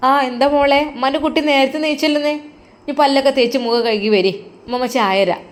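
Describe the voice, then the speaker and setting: A woman doing a bossy older mother, quick and loud. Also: high-pitched, fairly even intonation, natural voice. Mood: calling. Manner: quick, calling out, commanding. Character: older mother, brisk and bossy, fussing over family.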